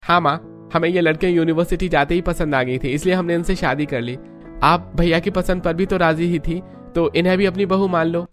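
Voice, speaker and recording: A man's voice: on the high side, fairly even intonation, fairly quick, faint music behind, natural voice. A man voicing a self-assured young student son in a cartoon, reasoning persuasively.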